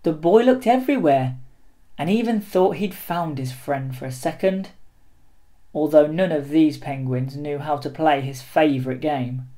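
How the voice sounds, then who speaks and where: Slow, deliberate children's storyteller. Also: medium pitch, warm tone. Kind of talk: expressive children's picture book read-aloud.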